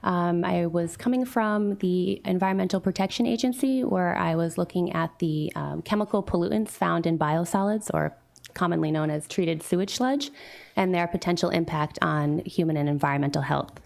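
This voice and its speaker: A woman's voice: fairly low pitch, moderate pace, creaky, a little mellow. A woman from Fall River, Massachusetts, a marine scientist giving a prepared public presentation at a town meeting. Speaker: water quality specialist, marine scientist. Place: town meeting room with a microphone.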